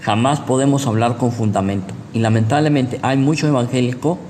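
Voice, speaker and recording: A man's voice: medium pitch, a little fast, a little mellow. Male preacher, Guatemalan accent, didactic sermon delivery with rhetorical questions; long room reverb and some background noise. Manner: didactic, repeats points, checks in with rhetorical questions. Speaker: pastor, preacher. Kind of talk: sermon, teaching with personal anecdotes.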